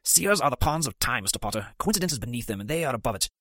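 A man's voice: medium pitch, sing-song, pushed and bright, no room sound, studio quality, exaggerated voice. Man as a grave, guilt-ridden potions master. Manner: formal, measured, pained.

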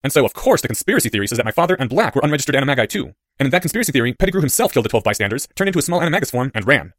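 A man voicing a bright, excitable, nerdy schoolboy who explains things eagerly and wordily in an audiobook story. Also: mid-range voice, very fast, no echo, clean studio sound. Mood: intent explaining. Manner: animated, eager, wordy explaining.

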